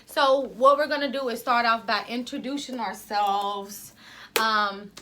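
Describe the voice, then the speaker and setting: A woman from Ohio co-hosting a casual podcast, loud and rambling. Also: a little high, fairly even intonation, measured pace. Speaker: podcast co-host.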